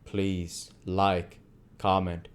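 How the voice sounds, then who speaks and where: A man's voice: deep voice, level tone, very slow, smooth. A man reading literary narration aloud as an amateur audiobook reader.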